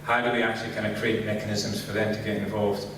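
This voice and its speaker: A middle-aged man from Scotland with a Scottish accent, giving a relaxed conference talk and saying "kind of" constantly. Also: fairly low pitch, fast, background noise. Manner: relaxed, filler-heavy, says "kind of" constantly. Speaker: conference speaker. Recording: echoey hall, distant mic.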